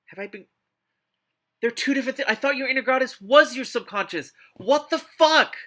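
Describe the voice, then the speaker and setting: A man hosting a live read-aloud of a book, mixing narration with casual, exasperated and expressive commentary. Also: very high-pitched, moderate pace. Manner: exasperated, expressive asides while reading.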